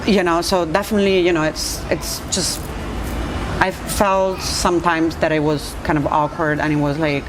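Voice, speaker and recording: An Italian-accented woman speaks in a low, relaxed voice, pausing with fillers and restarting her sentences. She is a bartender being interviewed. Clear background noise sits under her. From Italy. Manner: hesitant, many fillers and restarts. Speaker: bartender guest. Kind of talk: casual interview chat.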